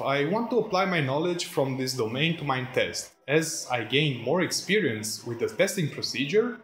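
A man in his thirties from Romania with a Romanian accent, chatting casually to camera in a vlog and laughing often at his own asides, recorded on a phone in a workshop. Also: a little high. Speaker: vlogger. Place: indoor workshop with tools and shelves. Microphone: phone camera mic. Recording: echoey room on a phone mic, faint music.

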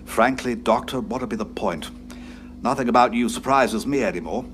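An actor playing a brisk, loyal British military brigadier in an audio drama, with clipped, old-fashioned officer's bluster and a British accent. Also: male voice, medium pitch, a bit bright, slight background noise, natural voice. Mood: resolute. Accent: British English.

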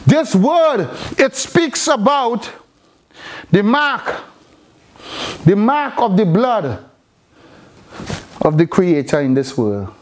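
A preacher delivering an impassioned, exhorting sermon, repeating phrases for emphasis. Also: medium pitch.

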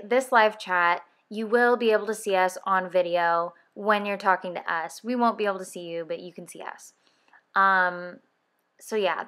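A woman's voice: medium pitch, a bit bright. A woman in her early thirties hosting a podcast, talking casually and rambling a little, correcting herself and laughing as she goes. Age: 30s. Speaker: podcast co-host.

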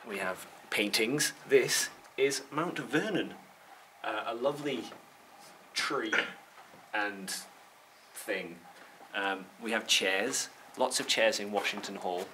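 A man in his thirties giving a casual, mock-earnest tour to camera in a deadpan manner. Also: on the low side, slow pace. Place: indoors in an old hall. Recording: clearly noisy room ambience.

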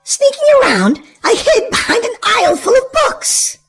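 A woman hosting a children's read-aloud, narrating in an exaggerated, sing-song, expressive way for small children. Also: extremely high pitch, moderate pace, faint music behind. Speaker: host and reader of a children's read-aloud show. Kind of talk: animated children's storybook narration.

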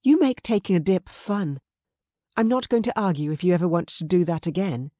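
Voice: mid-range voice, expressive, brisk, warm tone, dry recording, clean studio sound, pitched above the performer's own voice, natural voice. A narrator voicing a playful young woman who teases in a light, lilting way.

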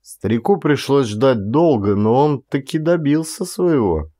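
A man reading a literary story as an actor, in measured, expressive dramatic audiobook narration. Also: mid-range voice.

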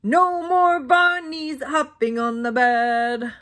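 A stern storybook doctor cat, acted out by a woman, high and commanding. Each order comes out slowly and emphatically, as if there is no arguing with it. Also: steady tone. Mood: stern, emphatic. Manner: loud, slow, emphatic commanding.

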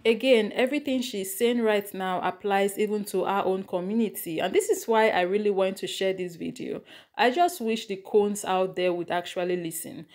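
A woman's voice: medium pitch, moderate pace, a little mellow. Woman, 30s, earnest, firm, commentary, phone recording. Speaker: commentary creator. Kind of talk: reaction commentary to camera. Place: indoors at home. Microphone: phone camera close up.

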